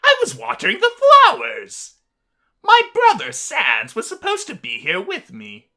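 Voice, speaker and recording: A woman's voice: a little high, huge pitch swings, measured pace, creaky, bright, forceful tone, voice pushed up, full character voice. A woman voicing an exuberant, grandiose male skeleton royal guard for a visual novel game, with pompous, theatrical, emphatic delivery. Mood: boastful, earnest.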